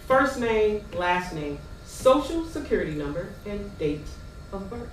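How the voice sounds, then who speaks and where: A woman on stage playing a condescending, officious welfare caseworker with a brisk, bureaucratic and patronizing manner. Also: mid-range voice, big pitch swings. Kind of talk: theatrical stage play dialogue. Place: theater stage, recorded from a distance. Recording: echoey stage hall, far mic, noisy.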